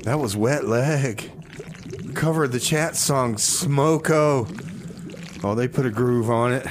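A man's voice: medium pitch, takes it slowly. A man hosting a radio music show with casual, slangy banter, often repeating his phrases. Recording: clear background noise.

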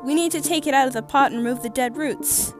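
A woman voicing a sweet, bubbly young female crewmate in a comic dub, with a cutesy, expressive delivery. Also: high-pitched, fast, music in the background, close to an everyday voice. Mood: cheerful. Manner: cutesy, sing-song, expressive.

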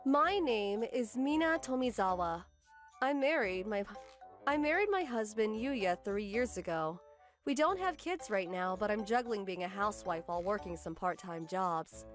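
A woman's voice: medium pitch, lively intonation, normal speed, soft background music, natural voice. A woman voicing a put-upon young housewife, firm and exasperated, narrating her own story in a manga dub. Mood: weary explaining. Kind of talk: scripted first-person manga dub narration.

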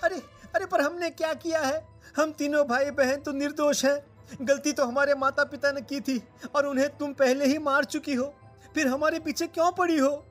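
A woman's voice: high-pitched, steady tone, moderate pace, soft background music, lightly acted. A woman voicing a frightened young brother in a horror cartoon, panicked and pleading.

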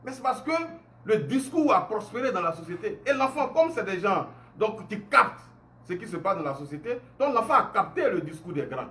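Ivorian guy in his 40s ranting to his phone at home, highish voice, lots of rhetorical questions. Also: very animated, fairly quick, bright, forceful tone. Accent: Ivorian French. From Côte d'Ivoire. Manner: indignant, emphatic, rhetorical questions, gestures a lot. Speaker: online commentator. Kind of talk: impassioned monologue to camera. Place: home room indoors.

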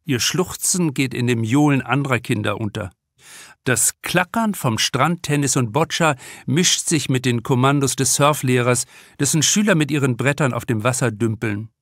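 A man reads a novel aloud in scripted audiobook narration. His voice sits at a medium pitch, and the recording is close and dry with no room sound. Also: normal speed, a bit bright, no room sound. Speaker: author reading own audiobook.

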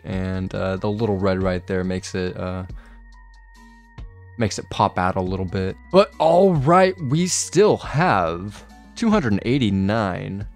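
A young man with a raspy, hoarse voice from being ill, chatting casually over his gameplay and swearing now and then. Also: on the low side. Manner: laid-back, swears casually. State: hoarse from illness. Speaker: gaming video creator.

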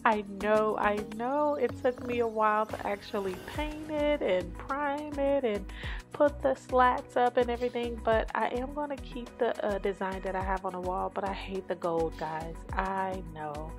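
A woman hosting a home cleaning vlog, chatting in a relaxed voice-over and talking straight to her viewers with light laughs. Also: medium pitch, moderate pace, faint music behind. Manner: talks straight to viewers, laughs lightly, asides with "you guys".

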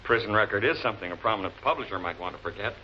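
A blunt police lieutenant acted by a man talks in a lowish, clipped, confident voice. The 1940s crime drama delivery sits on a muffled film soundtrack with clear noise. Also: animated, racing, close to an everyday voice. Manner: blunt, clipped, matter-of-fact.

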